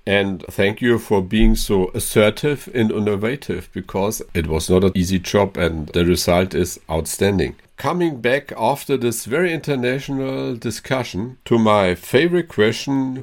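A male interviewer in a company interview, upbeat and quick to praise his guests. His voice sits a little low. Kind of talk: corporate interview.

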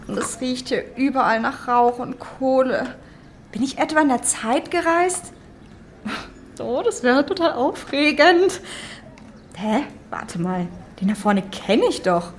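A woman playing a curious, excitable young time traveler in a scripted audio drama. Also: high-pitched, a little hiss or hum.

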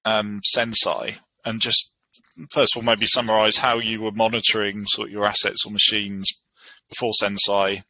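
A man's voice: lowish voice, little pitch movement, moderate pace, bright, forceful tone, no room sound, low-quality recording. A man hosting a podcast interview, asking hesitant, encouraging questions with frequent fillers. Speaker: podcast host.

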